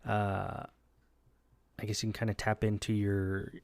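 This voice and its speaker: A man's voice: lowish voice, monotone, a little slow, vocal fry, a little mellow, no echo. A man whose first language is Spanish, co-hosting a podcast in a wry, rambling casual chat. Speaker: podcast co-host.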